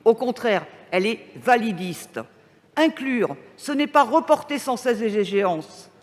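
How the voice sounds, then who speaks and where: A woman opposition councillor giving a formal, prepared council speech in a firm, critical and deliberate manner. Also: on the high side, animated. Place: large council meeting hall. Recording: reverberant council chamber sound.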